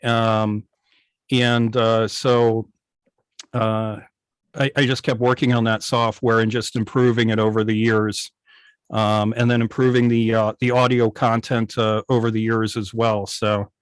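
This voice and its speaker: A middle-aged man casually telling his own story on a video call, pausing often as he finds his words. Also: lowish voice, little pitch movement, clean tone, dry recording. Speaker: guest, founder of an old-time radio station. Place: online video meeting. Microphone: video call microphone.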